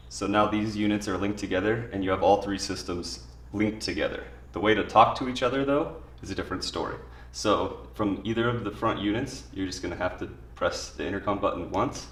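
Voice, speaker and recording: Male tutorial presenter, low-pitched, plain informal delivery, echoey room sound with faint background noise. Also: distant mic. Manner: plain, informal spoken explanation. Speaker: product demonstrator. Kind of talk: instructional product tutorial, step by step.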